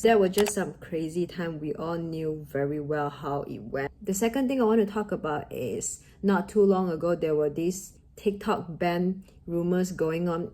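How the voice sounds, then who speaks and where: Earnest Malaysian woman, mellow voice. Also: lowish voice, poor audio. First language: Mandarin. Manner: earnest, encouraging, with grammar slips of a second-language speaker. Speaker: vlogger. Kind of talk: motivational talk to camera.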